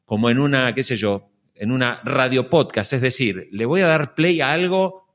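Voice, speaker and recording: A man, interviewing as a podcast host in a measured, conversational way. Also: mid-range voice, a bit bright.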